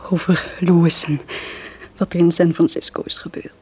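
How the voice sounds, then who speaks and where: An actress playing a fragile, overwrought wife in a radio play, halting and often dropping to a whisper. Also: female voice, lowish voice, little pitch movement, dark tone, soft background music, close to an everyday voice. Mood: confessing. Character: fragile, overwrought married woman, secretive and halting. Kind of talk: Dutch radio play acting.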